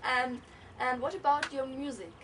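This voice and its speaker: A woman's voice: high voice, normal speed. A teenage girl hosting a scripted mock talk show for a school project, with an eager, upbeat presenter's tone. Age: teens. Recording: distant mic with loud background noise.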